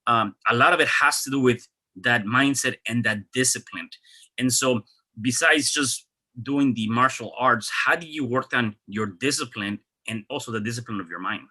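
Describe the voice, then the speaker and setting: A man's voice: mid-range voice, moderate pace, sharp tone, rough recording. A man hosting a podcast interview, conversational, with many fillers and repeated words. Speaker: podcast host.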